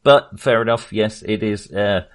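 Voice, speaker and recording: A man's voice: lowish voice, unhurried. A man co-hosting a podcast, chatting casually about films in a hesitant, agreeable conversational manner. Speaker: film podcast co-host. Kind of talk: casual, conversational film chat.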